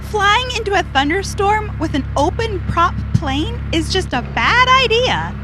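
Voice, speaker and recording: An exasperated, sensible sidekick acted by a woman in a children's audio adventure. The voice is very high and swoops excitably, full of worry, over clear engine noise. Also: a little slow, heavily acted. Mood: worried, exasperated. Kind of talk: comedic children's audio drama acting.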